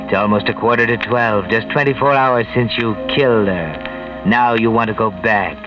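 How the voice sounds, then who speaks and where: A man's voice: on the low side, normal speed, close to an everyday voice. A man playing a sinister, all-knowing mystery narrator in 1940s radio style, ominous, theatrical and mocking. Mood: mocking, menacing. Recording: dull vintage radio recording with music.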